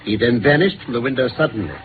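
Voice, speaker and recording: An actor playing a confident gentleman detective with an English accent, precise and authoritative, in a 1950s radio drama. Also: medium pitch, expressive, quick pace, lightly acted. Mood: precise, storytelling. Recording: tinny, noisy old radio recording.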